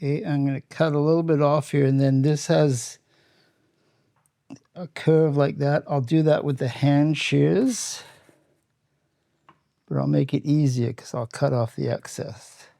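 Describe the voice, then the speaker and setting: A Canadian man talks through a hands-on demonstration at a very slow, unhurried pace. His voice is dark and relaxed, recorded close and dry, and he explains each step in a plain, matter-of-fact way. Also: on the high side, vocal fry, dry recording. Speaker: host of a workshop how-to channel. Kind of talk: casual hands-on demonstration, explaining steps while working.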